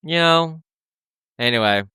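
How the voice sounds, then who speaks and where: A man's voice: on the high side, animated, unhurried, sharp tone, clear, resonant tone, dry recording. Young man rambling, dry close sound. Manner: rambling and candid, heavy use of "like", with frequent restarts. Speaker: podcast host. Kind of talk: casual chat about dating.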